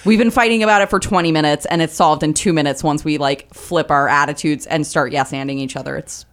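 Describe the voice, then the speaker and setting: A woman in her thirties with a lower voice, chatting as an interview guest in a loose, self-deprecating way with many fillers. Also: steady tone, fast talker. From Vermont Northeast Kingdom. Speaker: guest comedian. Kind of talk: casual interview conversation.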